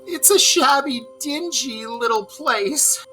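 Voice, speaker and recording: A man voicing a small, homesick storybook mole who pleads in a halting, plaintive, crying voice. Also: music in the background. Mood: sobbing, heartbroken. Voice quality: high-pitched, broken by sobs. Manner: halting, plaintive, repeating himself. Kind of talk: storybook read-aloud character voice.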